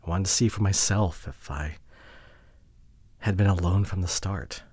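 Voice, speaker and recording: Man acting a husky, regretful, wounded boyfriend. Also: sits low, no room sound. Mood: remorseful, subdued. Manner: halting, emotional, often dropping to hushed near-whispers. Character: regretful, wounded boyfriend pleading with his partner. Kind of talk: ASMR roleplay audio drama.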